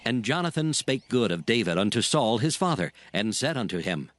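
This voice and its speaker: A man's voice: mid-range voice, sing-song, normal speed, fairly bright tone, no room sound. A man narrating a dramatized scripture reading in solemn, formal archaic phrasing.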